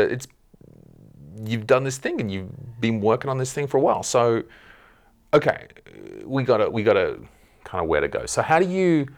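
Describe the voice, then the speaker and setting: A man hosting a casual chat in a hotel room, swearing and telling mock-exasperated jokes. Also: lowish voice. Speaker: comedian host.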